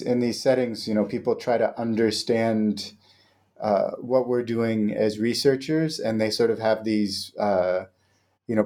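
A man's voice: on the low side, a little mellow. A man hosting an interview, asking questions in a hesitant way and rephrasing as he goes. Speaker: podcast host.

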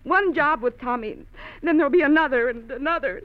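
Actress as worried wife, tinny vintage radio. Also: female voice, high voice, a little fast, close to an everyday voice. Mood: pleading. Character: worried devoted wife, gentle and pleading. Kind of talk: old-time radio drama acting. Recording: thin phone-like old radio recording.

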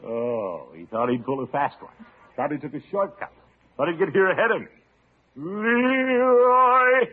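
A man's voice: on the high side, animated, unhurried. An American man playing a pompous, exasperated middle-aged uncle in a vintage radio comedy, blustering and grumbling. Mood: stern, blustering. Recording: vintage radio, narrow-band, noisy.

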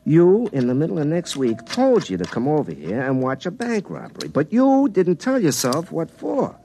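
A man's voice: fairly high pitch, wildly swooping pitch, normal speed, dark tone, slight background noise. An actor playing an earnest, hapless young telephone lineman, telling his own story in a folksy, self-dramatizing way in a comic radio drama. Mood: troubled, rueful. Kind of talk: first-person comic radio drama narration and dialogue. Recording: classic radio show with music underneath.

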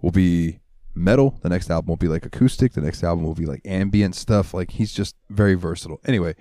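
A man's voice: low-pitched, fast talker, dark tone, no room sound, studio quality. A man co-hosting a podcast, telling rambling stories in casual chat and swearing freely. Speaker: podcast co-host. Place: US South, central Florida.

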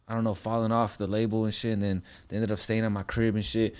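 A man's voice: fairly low pitch, flat delivery, fast, a little mellow, clean tone. A man in his late twenties, a music producer guest telling stories in loose, rambling slang with frequent laughter. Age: 20s. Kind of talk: casual podcast interview.

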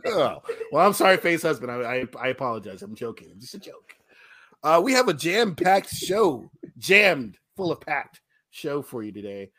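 Animated, joking male podcast host. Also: fairly high pitch, normal speed. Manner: joking, self-deprecating, playful. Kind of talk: casual comedic podcast hosting.

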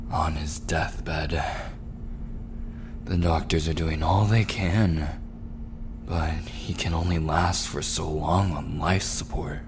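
Man playing mafia prince, very deep voice, unhurried delivery, possessive, teasing menace, breathy murmurs, roleplay audio drama, noisy ambience. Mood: cold, grave. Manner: slow, deliberate, teasing threats dropping into breathy murmurs. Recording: clearly noisy background ambience.

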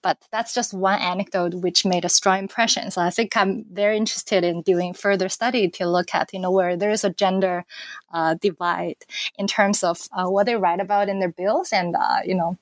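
A woman's voice: mid-range voice, steady tone, normal speed, no room sound. Thoughtful woman from China, dry sound. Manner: thoughtful, many fillers and restarts. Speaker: author and political scientist. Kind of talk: academic interview answer.

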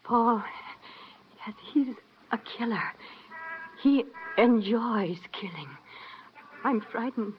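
An actress playing a frightened, emotional wife under suspicion in an old-time radio melodrama, pleading dramatically. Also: female voice, a little high, slow pace, long pauses, slight background noise. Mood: frantic, pleading. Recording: dull vintage radio recording.

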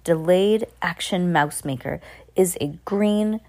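A woman's voice: lowish voice, takes it slowly, dark tone. A woman voicing a gloating, commanding evil grand witch in broken English for a storybook reading. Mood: commanding, scheming. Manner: broken grammar, boastful, commanding.

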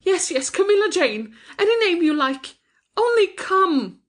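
Narrator lady doing a super high trembly woman in a storybook, emotional n pleading. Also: sing-song, moderate pace, darkish tone. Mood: frantic pleading. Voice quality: tremulous, breathy high voice. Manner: pleading, breathless. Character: distraught lonely woman, pleading and tearful.

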